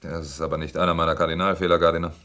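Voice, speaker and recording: A man playing an imposing, gruff captain in a German radio crime drama, brusque and coolly menacing. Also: deep voice, level tone, racing, sharp tone. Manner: brusque, controlled, dry.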